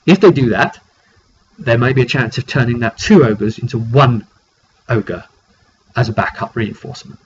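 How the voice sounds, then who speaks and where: A man's voice: medium pitch, normal speed, long pauses. Man recapping a roleplaying game, dull noisy recording. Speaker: game master recounting a tabletop campaign. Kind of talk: informal video recap of a roleplaying session. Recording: dull lo-fi sound with clear noise.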